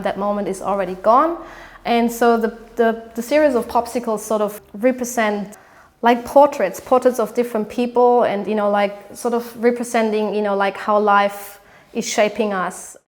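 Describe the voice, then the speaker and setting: German woman, halting speech. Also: mid-range voice. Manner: hesitant, many fillers and restarts. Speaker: photographer. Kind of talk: artist interview monologue.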